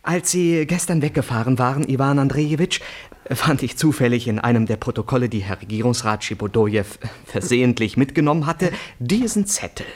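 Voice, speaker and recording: Male actor as dutiful civil servant, husky, precise, formal, insistent, eager, radio drama. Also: medium pitch, animated, fairly quick, hardly any pauses, lightly acted. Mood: earnest, insistent. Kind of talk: German radio play acting.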